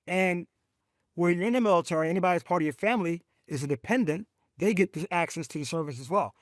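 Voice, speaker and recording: A man with a slightly high voice talks in a podcast conversation about veterans' causes, in a dry, close recording. He tells stories with emphatic, animated drive and often speaks of faith. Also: quick pace, no room sound. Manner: rising pitch when excited. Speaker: veteran advocate guest.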